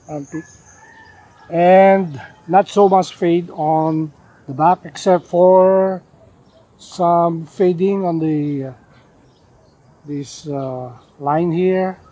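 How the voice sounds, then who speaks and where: An older man showing off his denim jacket outdoors, talking casually with lots of pauses and "uh" fillers. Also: on the high side, dark tone. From Philippines. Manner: unhurried, many "uh" fillers and restarts. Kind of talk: casual show-and-tell. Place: outdoors in a leafy garden.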